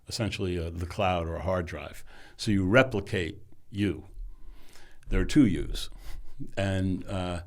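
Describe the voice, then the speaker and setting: A man who runs a company, a podcast guest who talks in a measured, thoughtful way, often trailing off softly. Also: deep voice. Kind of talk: casual interview conversation.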